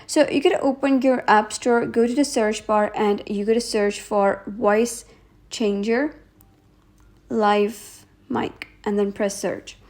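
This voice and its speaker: Woman with a highish voice doing a how-to voiceover, walking thru it step by step. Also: a little mellow. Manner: instructional, step-by-step. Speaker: tutorial host. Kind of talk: scripted how-to tutorial narration.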